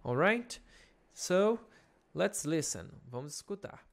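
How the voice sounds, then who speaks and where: A young man with a Brazilian Portuguese accent, an English teacher slowly explaining a lesson in a recorded video and checking in with his students. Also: a little high, sing-song, vocal fry. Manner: slow, patient explaining with check-in questions.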